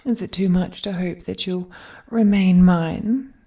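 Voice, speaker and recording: An ancient, godlike villainess, played by a woman, speaks straight to the listener in a romantic audio drama. Her voice is dark and husky, and she takes her words very slowly. She is regal, possessive and wounded, brooding theatrically and slipping into whispered phrases. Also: on the low side, fairly even intonation. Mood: hopeful, possessive.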